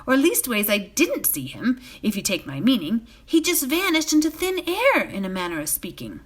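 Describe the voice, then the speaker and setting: A woman's voice: on the high side, huge pitch swings, moderate pace, breathy edge, pitched above the performer's own voice, exaggerated voice. A woman voicing an obstinate, indignant male hobbit from a storybook, with emphatic, folksy phrasing. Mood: indignant, insistent. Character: obstinate, indignant hobbit man at a country inn.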